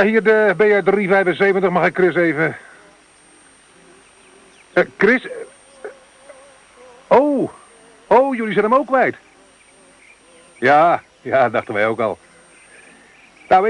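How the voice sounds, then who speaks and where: A man playing a gruff, cynical radio inspector. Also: fairly high pitch, expressive, a little slow, long pauses, low-quality recording. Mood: baffled. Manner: blunt, grumbling, crude. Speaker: radio monitoring van operator. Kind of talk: radio drama dialogue.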